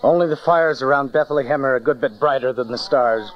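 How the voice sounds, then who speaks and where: A man's voice: mid-range voice, fairly quick, lightly acted. An actor playing a reverent, awestruck shepherd father in a dramatized audio Bible. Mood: awed. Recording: dull cassette transfer, faint music behind.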